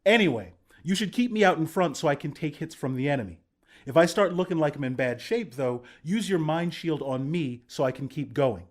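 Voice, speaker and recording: A man's voice: fairly high pitch, expressive, brisk. A man playing a battle-hardened resistance commander in a video game, plain-spoken, protective and reassuring. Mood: friendly, advising. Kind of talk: video game character voice lines.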